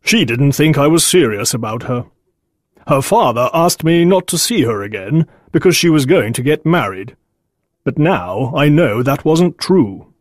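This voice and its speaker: An actor playing a big, lovelorn, anguished gentleman in a dramatised story. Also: medium pitch, expressive, measured pace, dry recording, clean studio sound. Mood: wistful, regretful.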